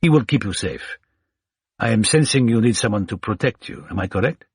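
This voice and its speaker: A man's voice: fairly low pitch, lively intonation, husky, no room sound, clean studio sound, exaggerated voice. A man reading as a wise blind old scholar. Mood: calm. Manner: courteous, measured, formal phrasing. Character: blind older male Egyptian scholar, wise and witty.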